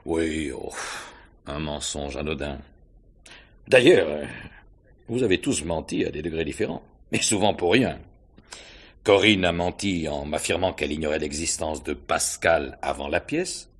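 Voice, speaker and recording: Radio mystery inspector: courteous but probing, very deep, rough-edged voice, deliberate questioning. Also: male voice, heavily acted. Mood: quietly accusing. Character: shrewd, probing, courteous male police inspector. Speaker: actor in a radio mystery drama. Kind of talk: French radio mystery play acting, 1950s style.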